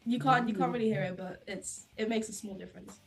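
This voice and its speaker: A woman in a band, a keyboard player, answering interview questions in a soft, somewhat hesitant way. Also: medium pitch, fast, faint music behind. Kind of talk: casual interview chat.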